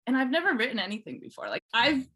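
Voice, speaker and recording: A woman's voice: a little high, huge pitch swings, fast, pushed and bright, slightly rough, poor audio. A woman chatting informally as an interview guest, telling her own story with lots of "like" along the way. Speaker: podcast guest, fan fiction writer. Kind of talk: casual chat, telling personal story.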